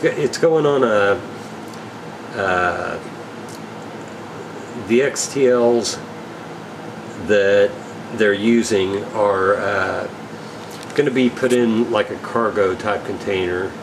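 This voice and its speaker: A man giving a casual, unscripted product update as an equipment dealer, in a rambling, halting, matter-of-fact way. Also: on the low side, background noise.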